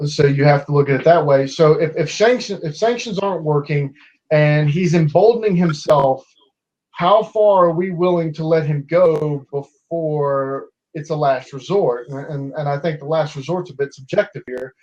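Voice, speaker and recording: A man's voice: on the high side, moderate pace, darkish tone, lo-fi sound. A man in his twenties debating online in a casual way, with many restarts and fillers as he argues. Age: 20s.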